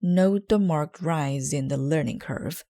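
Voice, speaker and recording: A woman's voice: low voice, slow, gravelly, mellow, exaggerated voice. A woman reading a children's storybook as a brainy, earnest schoolboy who lectures in a formal, wordy, explanatory way. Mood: earnest lecturing. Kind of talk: children's storybook read aloud.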